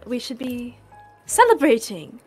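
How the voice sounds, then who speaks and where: A woman voicing a brazen, theatrical troublemaker of an adventurer in a tabletop game, speaking in a measured, pointed way. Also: a little high, sing-song, a little mellow, faint music behind, voice pushed up. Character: nonbinary adventurer Kalei, brazen, theatrical troublemaker making toasts.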